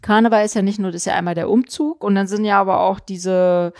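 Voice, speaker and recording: A woman's voice: medium pitch, steady tone, quick pace, darkish tone, no room sound, studio quality. A woman in a casual opinionated discussion, hesitant and searching for her words as she goes.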